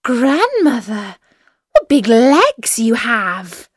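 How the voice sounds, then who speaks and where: A woman's voice: on the high side, wildly swooping pitch, extremely slow, dry recording, studio quality, voice pushed up, exaggerated voice. A woman voicing an innocent, trusting little girl in a fairy tale, earnest and wide-eyed with a sing-song delivery. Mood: amazed.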